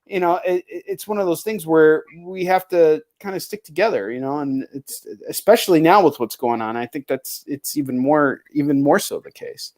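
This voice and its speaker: Man, slightly high-pitched voice, casual livestream host, rambling, slangy, sweary. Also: normal speed, few pauses, darkish tone. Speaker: livestream host and indie comic creator. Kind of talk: casual chat with a guest.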